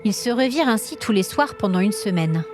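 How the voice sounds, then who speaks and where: A woman storyteller reading scripted dramatic narration in an expressive, suspenseful manner. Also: medium pitch, brisk, faint music behind.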